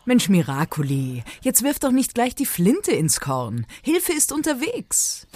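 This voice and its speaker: A woman's voice: fairly high pitch, huge pitch swings, measured pace, darkish tone. A woman narrating a parody audio drama in a playful, teasing way.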